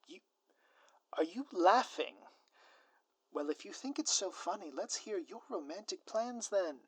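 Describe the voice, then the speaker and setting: A man's voice: sits high, animated, a little slow, breathy edge, dry recording, heavily acted. A man acting a scheming, secretly lovestruck supervillain in an ASMR audio roleplay, speaking to the listener in grandiloquent, florid phrases. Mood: flustered indignant. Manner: grandiloquent, theatrical, florid formal wording. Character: theatrical male supervillain, scheming, secretly lovestruck doting spouse. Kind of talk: scripted ASMR roleplay, direct address to listener.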